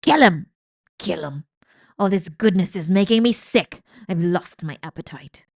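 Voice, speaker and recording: A cruel old dungeon rat who delights in others' misery, loud and sneering with a cackling edge. A woman reading aloud acts him out. He booms his jeers in a slow, drawn-out storybook voice. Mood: loud jeering. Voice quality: booming and mocking, with cackling laughs. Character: gleeful cruel male rat, loud, mocking.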